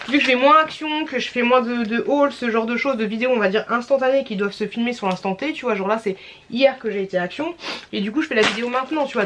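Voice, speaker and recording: A woman's voice: fairly high pitch, background noise. A young woman with a cold, talking casually to her vlog audience in a tired, stuffy voice, rambling with many fillers and restarts. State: has a cold. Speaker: vlogger.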